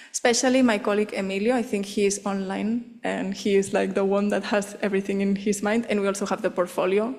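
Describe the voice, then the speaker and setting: A woman's voice: mid-range voice, darkish tone. Woman presenting in a reverberant hall. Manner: hesitant, many fillers and "like". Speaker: symposium presenter. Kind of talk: conference talk and Q&A answers. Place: conference hall.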